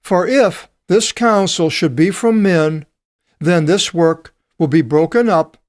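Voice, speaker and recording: A man teaching a Bible study, reading passages aloud and commenting on them in a deliberate way with frequent pauses. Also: high voice, no room sound, studio quality.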